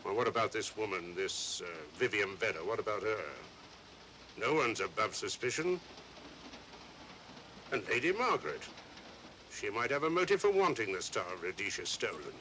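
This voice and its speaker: A doubting police inspector from a 1940s mystery. The voice is sharp and very animated, with an emphatic, questioning delivery. It comes over a faintly noisy old soundtrack. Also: male voice, fairly low pitch, moderate pace, long pauses. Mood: suspicious, reasoning. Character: blunt, sceptical male police inspector. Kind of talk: 1940s mystery film acting.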